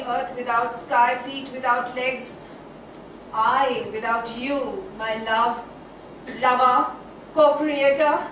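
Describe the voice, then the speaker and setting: A woman's voice: sits high, fairly bright tone. A woman playing an eccentric, passionate, half-mad character in a poetic, declamatory stage monologue. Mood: rapturous, tender. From India. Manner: declamatory, poetic, dramatic drawn-out delivery. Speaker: stage actor. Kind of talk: theatrical one-act play monologue. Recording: echoey room, distant mic, faint noise.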